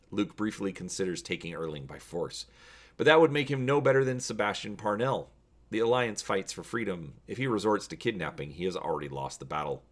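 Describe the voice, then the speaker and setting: A man hosting a story read-along, addressing viewers directly in a casual, emphatic way and reading narration aloud. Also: deep voice. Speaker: host reading a choose-your-own-adventure story.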